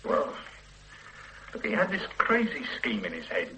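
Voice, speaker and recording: A defensive son under police questioning in a radio drama, agitated and resentful. His bright voice leaps wildly up and down. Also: mid-range voice, huge pitch swings, measured pace, slight background noise, exaggerated voice, low-quality recording. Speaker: murder suspect's son.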